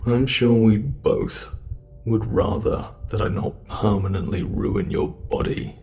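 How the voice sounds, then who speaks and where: A man's voice: fairly low pitch, expressive, mellow, faint music behind. A man playing a gloating British under-bed monster. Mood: menacing. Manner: slow, gloating, menacing, drops into whispers. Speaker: voice actor. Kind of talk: ASMR horror roleplay.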